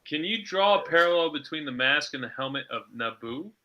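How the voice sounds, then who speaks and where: A man's voice: on the high side, animated, brisk, sharp tone, dull audio. A man chatting casually as a podcast co-host, often laughing as he talks. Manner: giggly, laughs often while talking. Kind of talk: casual group chat.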